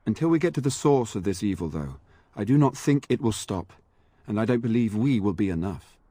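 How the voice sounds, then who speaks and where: Grave, low-voiced knight. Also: male voice, animated, dark tone, no echo. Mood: grave, somber. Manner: formal, measured, courteous. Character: earnest, grave male knight in a fantasy game. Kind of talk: scripted fantasy game dialogue.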